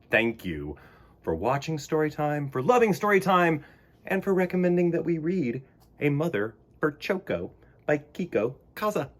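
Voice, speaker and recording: A man hosting a children's storytime, reading and talking in a theatrical, expressive way. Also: mid-range voice. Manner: theatrical, exclamatory.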